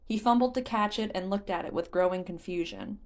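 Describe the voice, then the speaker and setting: A woman's voice: medium pitch, fairly quick. Woman narrating a fan fiction audiobook.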